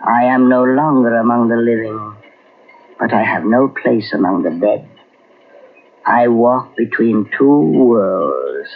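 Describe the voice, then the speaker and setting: An actor playing the calm, solemn ghost of a man who died a year ago, speaking in a slow, measured way, in 1940s radio drama style. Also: medium pitch. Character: ghostly dead man walking between worlds, calm, solemn. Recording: tinny old radio transcription with hiss.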